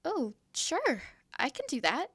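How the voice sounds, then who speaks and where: A woman's voice: high-pitched, wildly swooping pitch, slow pace, fairly bright tone, dry recording, studio quality, exaggerated voice. A woman voicing a timid, apologetic young girl who stammers nervously. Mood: friendly. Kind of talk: fantasy audio roleplay.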